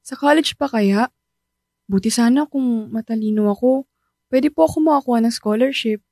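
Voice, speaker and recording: A woman's voice: fairly high pitch, moderate pace, warm tone, dry recording, clean studio sound. A woman voicing a polite, studious teenage child in a radio drama who talks respectfully to a parent.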